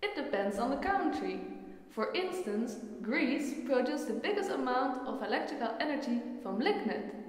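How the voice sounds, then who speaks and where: A woman's voice: high-pitched, big pitch swings, normal speed. A woman playing a knowledgeable, earnest friend who patiently explains things in a scripted educational film dialogue. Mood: informative. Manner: explanatory, instructive. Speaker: character in a student educational film. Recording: very echoey hall-like reverberant room.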